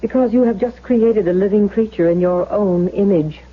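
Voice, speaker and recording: A woman's voice: mid-range voice, normal speed, close to an everyday voice. An actress playing an earnest, grave woman in a 1970s radio drama, speaking with solemn theatrical intensity. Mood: probing. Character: earnest, grave woman gently warning her companion. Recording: tinny phone-like 1970s radio broadcast.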